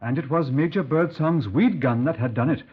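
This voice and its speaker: An actor playing an earnest, folksy Welsh police sergeant in a 1950s radio drama. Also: male voice, on the high side, normal speed, slightly rough. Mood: earnest, pleased. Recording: dull vintage 1950s radio recording.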